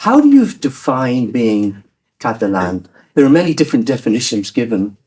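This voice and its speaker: A man's voice: medium pitch, big pitch swings, a little mellow. A man interviewing a writer, putting long, carefully built questions to her. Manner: measured, long multi-part questions. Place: lecture room at a university event.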